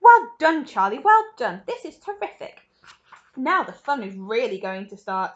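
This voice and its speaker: A woman's voice: a little high, expressive, a little fast, muffled recording, natural voice. A woman voicing an exuberant, eccentric man who owns a chocolate factory, gushing and repeating himself eagerly in a storybook reading. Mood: gleeful, congratulating. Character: exuberant, eccentric male chocolate-factory owner, gleefully excitable.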